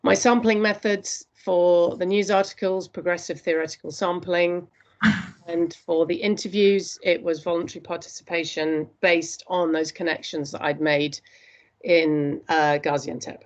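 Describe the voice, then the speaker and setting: A woman presenting her postgraduate dissertation research in an earnest, steady academic talk, with frequent hesitations. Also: fairly low pitch, a little slow, highs cut off.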